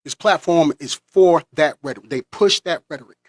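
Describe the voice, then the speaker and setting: A man's voice: fairly high pitch, normal speed, no room sound, studio quality. An American man delivering an impassioned, preachy monologue as a vlogger. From United States.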